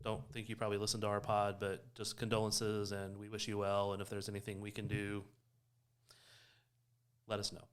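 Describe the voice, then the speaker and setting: A man's voice: on the low side, monotone, fairly quick, clear, resonant tone. A man co-hosting a soccer podcast in casual discussion, explaining his points with emphasis. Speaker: sports podcast co-host. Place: recorded in person in a shared room.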